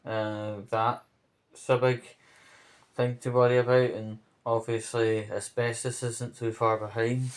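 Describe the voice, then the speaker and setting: A man giving off-the-cuff spoken commentary on local news, rambling, pausing mid-phrase and checking in with the listener. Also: mid-range voice, little pitch movement.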